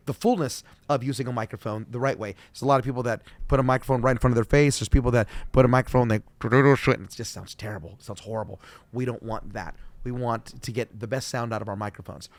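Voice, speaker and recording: A middle-aged man teaching as a vocal coach, friendly and explaining slowly with demonstrations. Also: medium pitch, no echo. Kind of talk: solo video voice lesson.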